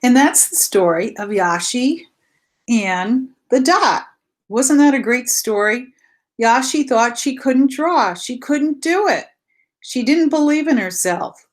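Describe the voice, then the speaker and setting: Slow, high-voiced woman teaching children. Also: animated. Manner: asks questions and answers them herself; simple, repetitive explaining to children. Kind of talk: read-aloud lesson for young children.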